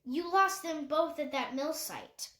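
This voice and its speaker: A woman reading aloud voices an earnest young girl who presses gently and reassures a grieving friend. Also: sits high, a little slow, roomy sound, voice pushed up. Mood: probing. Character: earnest girl, caring and insistent.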